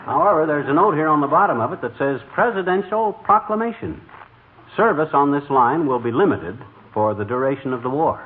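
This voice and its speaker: A man's voice: fairly high pitch, animated, brisk, lightly acted. A man playing a blustering, boastful, easily riled husband with folksy wisecracks in a 1940s radio comedy. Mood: dry grumbling. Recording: noisy, muffled vintage radio broadcast.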